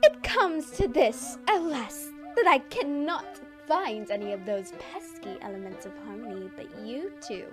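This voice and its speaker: A woman voicing a menacing female pony villain, a sinister clone, with drawn-out, theatrical, threatening delivery. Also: fairly high pitch, animated, music in the background, voice pushed up. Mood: menacing, gloating.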